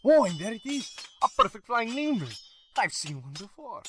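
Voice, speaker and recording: A man's voice: very high voice, sing-song, moderate pace, a bit bright, faint music behind, exaggerated voice. A man playing a prim, appreciative spectator in a comedy radio play, commenting in a formal, mannered way. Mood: admiring.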